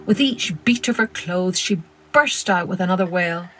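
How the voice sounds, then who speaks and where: A woman narrating a children's story in an expressive, dramatic storytelling manner. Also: on the low side, normal speed, music in the background, poor audio. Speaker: storyteller, podcast host.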